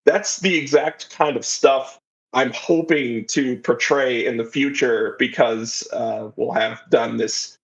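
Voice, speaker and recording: A man's voice: mid-range voice, steady tone, slow pace, creaky. A man talking casually as a podcast guest, telling stories in a rambling, informal way. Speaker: podcast guest, audio drama writer. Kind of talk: casual interview chat. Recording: slightly dull, lo-fi audio.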